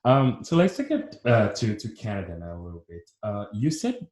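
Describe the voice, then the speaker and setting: A man's voice: lowish voice, wildly swooping pitch, normal speed, a little mellow, reverberant. A man hosting an interview, asking polite questions and giving brief affirmations. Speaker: host. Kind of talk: conversational interview.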